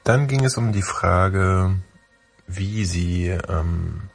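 Man, interviewer, low voice, husky, calm. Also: drawn-out words, faint music behind. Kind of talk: spoken commentary.

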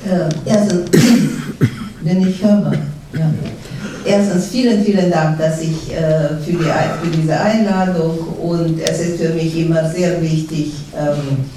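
A middle-aged woman from Russia speaking with a Russian accent, a guest author at a panel talk who pauses often with fillers and restarts. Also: deep voice, steady tone, mellow. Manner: halting, many fillers and restarts. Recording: echoey hall from far off, faint noise.

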